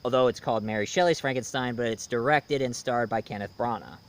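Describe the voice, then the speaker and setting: A man's voice: medium pitch, fast, clear, resonant tone. A man giving a casual, rambling movie review with playful, theatrical emphasis. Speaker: video reviewer, voice impressionist.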